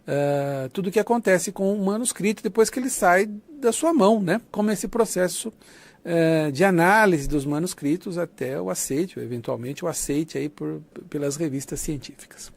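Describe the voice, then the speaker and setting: The fairly high-pitched Brazilian man you would hear leading a university short course, explaining things conversationally and checking that students follow. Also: lively intonation, moderate pace, creaky. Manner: didactic, conversational, often seeks confirmation with tags. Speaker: lecturer of an academic writing course. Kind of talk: online lecture, informal explanation.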